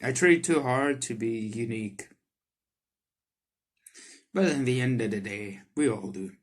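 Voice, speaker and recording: A man doing let's play commentary on a visual novel, reading the text aloud and reacting to it excitably. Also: mid-range voice, a little slow. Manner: excitable, reacts in sudden loud outbursts. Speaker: streamer playing a visual novel. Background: soft game music.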